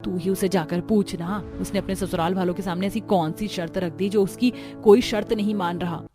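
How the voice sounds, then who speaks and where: A woman's voice: fairly low pitch, fairly even intonation, moderate pace, over music, close to an everyday voice. A woman voicing a troubled middle-aged mother in a cartoon story, grumbling wearily. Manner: weary, grumbling.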